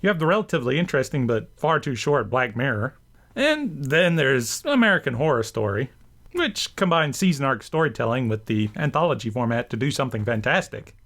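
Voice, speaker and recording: A man's voice: mid-range voice, big pitch swings, a little fast, fairly bright tone. A man hosting a scripted video essay, narrating with enthusiasm and an emphatic, theatrical delivery. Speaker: host and writer.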